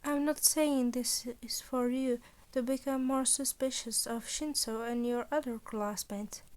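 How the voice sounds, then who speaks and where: A woman voicing a calm, scheming teenage boy acting as principal, speaking confidently in a lecturing way. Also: high-pitched, measured pace.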